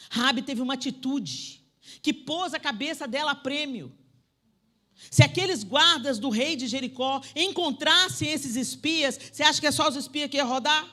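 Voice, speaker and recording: Woman, preacher, sharp bright tone, emphatic, talks right to the crowd. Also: high voice. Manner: emphatic, swinging from loud declarations to soft asides; conversational, addresses the audience directly. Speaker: preacher at a women's conference. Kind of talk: sermon. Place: church sanctuary.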